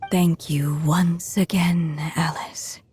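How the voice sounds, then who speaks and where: Slow, solemn storyteller witch. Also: fairly low pitch, fairly even intonation, darkish tone. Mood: weary, wistful. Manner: measured, solemn, tender, breathy phrase endings. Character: gentle dying storyteller witch, solemn, motherly, serene. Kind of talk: dramatic video game monologue. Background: music.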